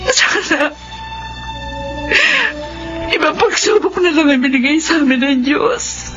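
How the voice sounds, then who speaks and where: An actress playing an anguished mother of teenagers who was abandoned by her husband, pleading and breaking into tears and shouts, in a radio drama. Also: high voice, expressive, slow pace, muffled recording, over music. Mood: tearful.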